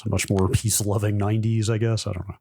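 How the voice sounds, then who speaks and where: A man's voice: lowish voice, little pitch movement, quick pace, darkish tone, dry recording, studio quality. A man co-hosting a casual tech podcast, speaking in a hesitant, agreeable way with short replies. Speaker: podcast co-host.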